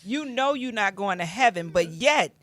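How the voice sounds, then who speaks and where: A woman's voice: medium pitch, lively intonation, moderate pace, a bit bright, no room sound. An American woman co-hosting a talk show, chatting casually and giving opinions with emphatic repetition.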